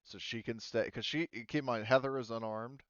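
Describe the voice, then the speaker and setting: A man running a tabletop roleplaying game as game master in casual talk, thinking aloud with many pauses. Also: mid-range voice, fairly even intonation, fairly bright tone, smooth, dry recording, lo-fi sound.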